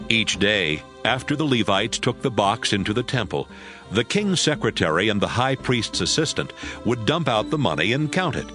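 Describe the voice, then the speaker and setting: A man with a lowish, animated voice narrates scripture as an audio drama. His storytelling is measured and scripted, with music underneath.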